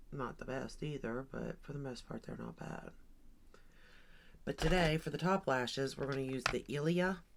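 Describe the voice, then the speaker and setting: A woman in her forties chatting casually while doing her makeup, rambling and thinking aloud with lots of ums and likes. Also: low-pitched. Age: 40s. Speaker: beauty vlogger. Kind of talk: casual get-ready-with-me makeup chat.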